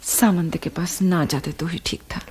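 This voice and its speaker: A concerned wife in a radio play. Her voice is mellow, husky and breathy, and she is soft yet frank. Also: lowish voice, steady tone, normal speed. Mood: frank, protective. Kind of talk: Hindi radio drama acting.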